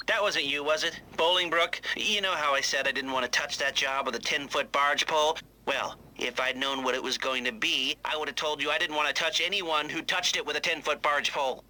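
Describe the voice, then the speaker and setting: An actor playing a dry, evasive criminal fixer in a video game, rambling in a roundabout way with a creaky, gravelly voice. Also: male voice, on the high side, brisk, rough recording. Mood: wry, evasive. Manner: dry, roundabout, hesitant humour. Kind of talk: video game phone-call dialogue, rambling. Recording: loud music behind.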